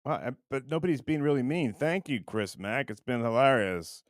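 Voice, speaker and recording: Animated, self-deprecating man chatting on a livestream. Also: mid-range voice, moderate pace, dry recording, studio quality. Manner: rambling, self-deprecating, breaks into laughter. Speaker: livestream host. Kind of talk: casual chat reading viewer comments.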